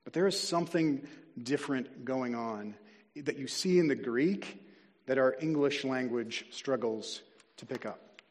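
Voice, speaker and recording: A man preaching a sermon as a pastor, earnest and deliberate, slowing down to stress key words. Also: mid-range voice. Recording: long reverb of a large hall.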